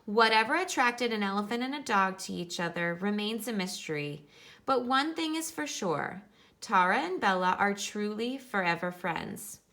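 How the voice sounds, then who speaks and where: A woman's voice: mid-range voice, expressive, moderate pace, fairly bright tone. A woman teacher reading a nonfiction book aloud for children, instructive and explaining things in simple terms.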